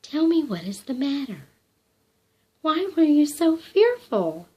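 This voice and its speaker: A gentle, strong-hearted shepherd speaks slowly and reassuringly, his words cheerful and calm, as voiced by a woman reading aloud. He comforts rather than commands. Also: high-pitched, lo-fi sound, voice pushed up, exaggerated voice. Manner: slow, kind, reassuring.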